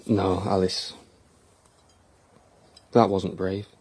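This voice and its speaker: An actor playing an older man in a naturalistic audio drama, terse and understated, with a gruff affection. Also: sits low, level tone, extremely slow, darkish tone, exaggerated voice. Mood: gently encouraging.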